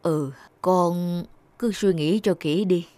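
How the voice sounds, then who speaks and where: An actor playing a devoted, gentle old father in a cartoon, speaking tenderly and hesitantly. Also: mid-range voice, slow pace, dry recording, natural voice. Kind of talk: Vietnamese cartoon dubbing.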